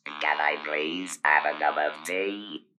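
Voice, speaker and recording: A man demonstrating speech through an electrolarynx, with a buzzing, mechanical, monotone voice. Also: very deep voice, takes it slowly. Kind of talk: instructional demonstration. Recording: clearly noisy, with steady device buzz.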